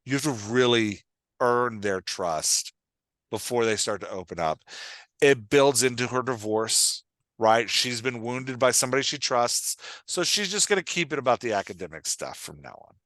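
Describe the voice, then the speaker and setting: A man's voice: mid-range voice, animated, normal speed, fairly bright tone, dry recording. A man hosting a podcast as a writing coach, explaining conversationally, thinking aloud and often checking that he is understood.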